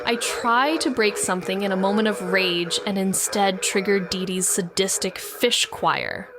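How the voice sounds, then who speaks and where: A woman's voice: medium pitch, unhurried, over loud music, lightly acted. A woman playing a shaken, sardonic young woman in an intimate first-person audio drama monologue, wry, introspective and profane. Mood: bitter, sardonic. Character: shaken, wounded, sardonic young woman, med-school dropout.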